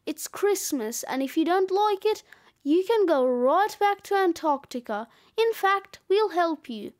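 Earnest, easily indignant kid hero for a children's audiobook, voiced by a woman, high and plain-spoken. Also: animated, measured pace. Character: young boy narrator's friend Andy, earnest and indignant.

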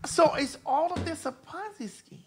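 A woman in her forties hosting a casual gossip talk show, with a playful, teasing and animated manner. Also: high voice, normal speed, a little hiss or hum. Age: 40s.

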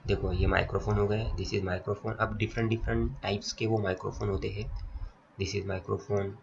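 A man's voice: fairly low pitch, little pitch movement, moderate pace, gravelly. A man with an Indian accent giving a slide lecture, reading points aloud and explaining them step by step. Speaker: polytechnic lecturer. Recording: dull lo-fi audio, faint noise, clicks.

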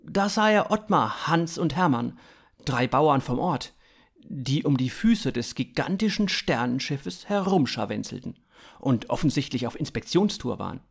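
A man in his thirties narrating scripted fiction in a dramatic, expressive way. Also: medium pitch, vocal fry.

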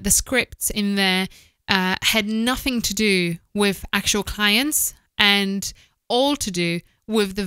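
Woman in her thirties, very smooth crisp voice, dry close-mic sound, casual advice, emphatic repetition. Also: mid-range voice, pushed and bright, no echo. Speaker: host, voice-over producer. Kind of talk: casual instructional podcast talk to camera. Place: small home office, indoors. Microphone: close studio microphone with foam windscreen, headphones.

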